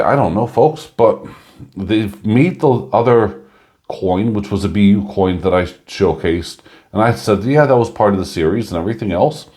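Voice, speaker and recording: A man chatting casually through a coin review, rambling with lots of fillers and a slightly hoarse voice. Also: fairly low pitch, a little mellow.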